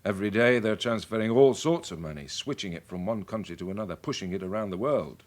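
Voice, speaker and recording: Male actor as worldly British chief inspector, middle-aged. Low-pitched, animated delivery, measured, explanatory, wry. Radio drama. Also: natural voice. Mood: measured, emphatic. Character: worldly, wry senior police chief briefing his sergeant.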